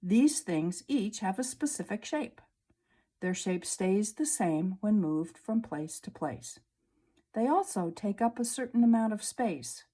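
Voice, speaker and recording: A woman teacher reading a children's science book aloud, slowly and clearly, at a table indoors. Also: medium pitch, expressive, warm tone. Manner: slow, clear storybook reading. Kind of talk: read-aloud lesson for children.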